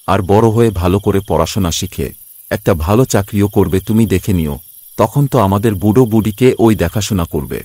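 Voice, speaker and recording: A man's voice: fairly low pitch, warm tone, no echo. A man playing a humble, hardworking poor father in a cartoon story, speaking earnestly and reassuringly. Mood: gentle, hopeful. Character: hardworking, self-sacrificing poor labourer father, devoted and humble. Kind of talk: Bengali cartoon story voice acting.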